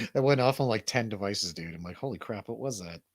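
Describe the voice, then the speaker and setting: A man's voice: on the low side, dry recording. A man hosting a casual livestream chat, laid-back, slangy and rambling. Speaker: host of a livestream show.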